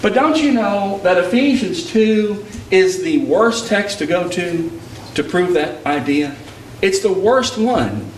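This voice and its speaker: Male preacher from Texas, higher voice, emphatic sermon delivery, roomy echo, distant mic. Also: animated, slow, slight background noise. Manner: emphatic, rising preaching cadence. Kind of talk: sermon with personal storytelling. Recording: echoey hall picked up from a distance.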